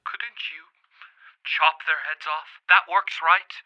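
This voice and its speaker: A man's voice: a little high, steady tone, slow. A man playing a fussy, penny-pinching uncle in a comedic audio drama, haggling fretfully through a telephone filter. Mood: fretful, grudging. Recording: thin band-limited telephone line sound.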